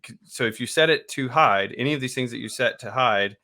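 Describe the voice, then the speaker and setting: A man co-hosting an IT training video, explaining settings in a halting, loose way with many restarts. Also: medium pitch, pushed and bright. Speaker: IT trainer, co-host. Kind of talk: casual tech explanation.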